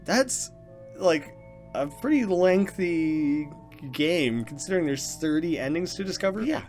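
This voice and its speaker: A man's voice: on the high side, expressive, a little slow, a little mellow, faint music behind. A man hosting a let's play, reading horror game narration dramatically and reacting with casual commentary.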